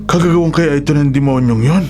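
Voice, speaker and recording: An actor playing a worried older man with a dark past in a radio horror drama, speaking gravely and hesitantly. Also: on the high side, quick pace, natural voice. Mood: grave worry. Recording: dramatic music bed under the voice.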